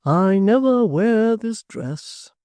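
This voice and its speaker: A reader voicing a gentle, kindly middle-aged locksmith in a storybook reading, speaking earnestly. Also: male voice, high-pitched, animated, slow pace, smooth, no echo, studio quality, voice pushed up.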